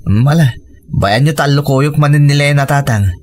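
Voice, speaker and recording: A man's voice: a little high, normal speed, darkish tone, soft background music, natural voice. Man acting a modest Ilocano young father. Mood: respectful, bashful. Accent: Filipino, Ilocano. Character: hardworking, modest husband and fond young father. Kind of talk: radio drama dialogue.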